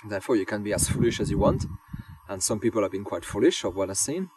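Male, young adult, hobby camper; halting, um-heavy interview answers; slightly low voice, off-mic, heavy music bed. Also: expressive, husky. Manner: hesitant, many ums and restarts. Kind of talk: casual reflective interview answers. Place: outdoors on moorland. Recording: distant voice under loud music.

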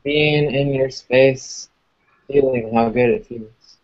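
A man hosting a podcast who closes a guided meditation in a calm, soothing way and welcomes a guest. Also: medium pitch, takes it slowly. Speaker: podcast host. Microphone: webcam on video call. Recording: dull lo-fi webcam audio.

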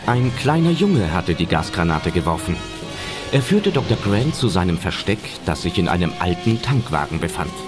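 A man's voice: low-pitched, sing-song, normal speed. A man narrating an audio drama as a scripted adventure story. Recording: loud music under narration.

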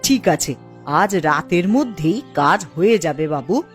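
A woman's voice: lowish voice, animated, a little slow, soft background music. A woman voicing a discontented, ruthless village wife in a cartoon, dramatic and scheming. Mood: cold menace. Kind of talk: Bengali fairy-tale cartoon voice acting.

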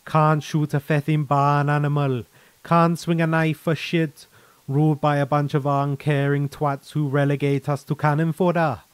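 A man's voice: on the high side, level tone, normal speed, clear, resonant tone, no room sound, lightly acted. A man voicing a brash, defensive former soldier in a story, with a folksy, teasing manner. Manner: folksy, teasing, calls listener lad and boyo. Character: brash, defensive male ex-guardsman turned defector, cheerfully chiding. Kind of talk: short story character reading.

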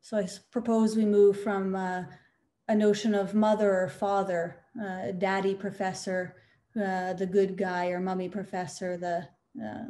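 A woman presenting an academic talk on a video call, measured and quoting philosophers. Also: on the low side, dark tone. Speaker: conference panelist.

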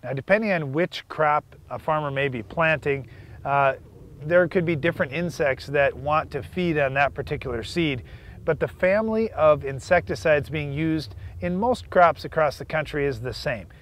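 A man's voice: fairly high pitch, moderate pace, fairly bright tone, slight background noise. A man in his thirties from the United States co-hosting a farm show, explaining step by step with small fillers, outdoors in a field on a lapel mic. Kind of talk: scripted educational farm talk.

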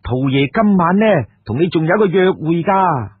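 A man's voice: on the high side, expressive, moderate pace, breathy edge, no room sound. A man with a Cantonese accent voicing a hearty, talkative swordsman of ancient times in a story. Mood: hearty, eager. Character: deferential revelling ancient swordsman, anxious comrade. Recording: muffled, narrow phone-grade audio.

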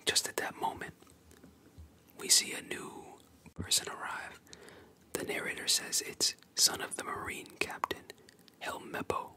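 A storyteller whispering in an unvoiced, breathy ASMR style, retelling a story with casual asides and read-out dialogue. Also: measured pace, pauses often, bright, forceful tone. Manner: casual asides between quoted lines.

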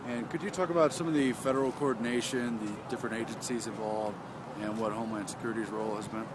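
A man's voice: mid-range voice, steady tone, quick pace. A man interviewing someone outdoors, politely asking questions. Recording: loud outdoor traffic noise.

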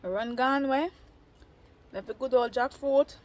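A young woman with a fairly high voice, talking straight to her viewers in a relaxed, chatty way. She records on a phone held at arm's length outside under a shed roof, with faint outdoor noise behind her. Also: unhurried. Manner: chatty, talks straight to viewers while eating. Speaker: vlogger. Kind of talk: casual cooking vlog chat. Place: outdoors under a tin-roofed shed in a yard.

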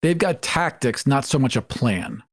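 A man's voice: mid-range voice, animated, a little slow. A man speaking as a podcast panelist in a casual discussion about tabletop games.

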